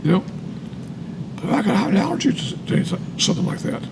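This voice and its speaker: A man's voice: sits high, big pitch swings, fast talker, background noise. An American man reading aloud and sharing personal testimony, emphatic and repeating phrases for weight. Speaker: co-host reading and commenting on a recovery book.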